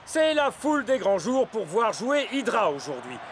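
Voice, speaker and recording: A man voicing an excitable, enthusiastic football commentator in a French cartoon dub. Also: high-pitched, moderate pace, faint music behind. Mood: urgent.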